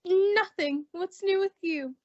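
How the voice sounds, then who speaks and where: A very high, fluttery voice for an excitable, sympathetic girlfriend played by a woman. The delivery is theatrical radio comedy, heard on a slightly dull recording. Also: takes it slowly. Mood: bracing. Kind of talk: old-time radio comedy acting. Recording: dull, lo-fi audio drama recording.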